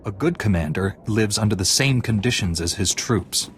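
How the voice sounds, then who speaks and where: A man's voice: on the low side, expressive, moderate pace, slight background noise, natural voice. A man voicing a grave, righteous, resolute knightly hero in a video game. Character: stalwart, righteous male Jedi knight hero. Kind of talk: video game dialogue acting. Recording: music behind the voice.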